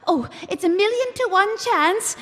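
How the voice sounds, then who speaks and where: An actress playing a brisk, self-assured aristocratic lady in a comic fantasy radio play. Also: very high-pitched, lively intonation, fairly bright tone, full character voice. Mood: coy, teasing. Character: brisk, hearty aristocratic lady dragon fancier. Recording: live stage performance in reverberant hall.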